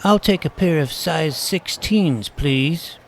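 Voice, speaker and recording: Man as an earnest, creaky-voiced schoolboy detective. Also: a little high, takes it slowly, slight background noise. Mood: dramatic, wry. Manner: precocious, wordy, earnest, mock-serious. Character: earnest, eager schoolboy paranormal detective narrating his adventure. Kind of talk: first-person scripted audio drama narration.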